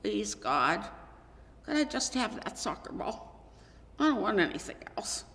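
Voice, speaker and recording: A woman's voice: medium pitch, big pitch swings, measured pace, a bit bright. Woman reading as an eager young boy, echoey hall. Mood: pleading. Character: eager, wishful young schoolboy who loves soccer. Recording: echoey hall with long reverb.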